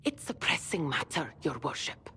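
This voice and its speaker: An actress playing a deferential, discreet woman messenger in a fantasy game, hushed and polite. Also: low voice, big pitch swings, normal speed, creaky, slight background noise, heavily acted. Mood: discreet, urgent. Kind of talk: dramatic fantasy game acting. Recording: reverberant hall with faint ambience.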